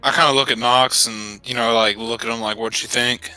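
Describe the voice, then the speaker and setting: A man's voice: medium pitch, fairly even intonation, a little fast, bright, forceful tone, smooth, no room sound, faint music behind, poor audio. A man playing a tabletop roleplaying game, chatting and improvising informally with jokes. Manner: informal, joking. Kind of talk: casual improvised roleplay chat.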